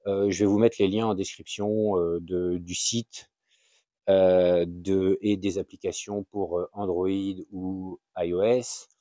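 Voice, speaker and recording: Deep-voiced Frenchman, hesitant, dark tone. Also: level tone, no room sound. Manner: hesitant, halting, searching for words. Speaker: YouTube channel host sharing a tip. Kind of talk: informal unscripted explainer.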